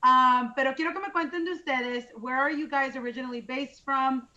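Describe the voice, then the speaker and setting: A woman's voice: high voice, steady tone, brisk, bright, forceful tone, dull audio. A woman from Los Angeles hosting a casual interview, chatty and enthusiastic, moving between English and Spanish. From Los Angeles, USA.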